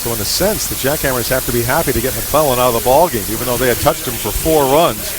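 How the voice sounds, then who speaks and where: Male play-by-play baseball announcer, medium pitch, clipped live radio calls, noticeably hissy broadcast recording. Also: fast talker. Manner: clipped, fragmentary phrasing that follows the action.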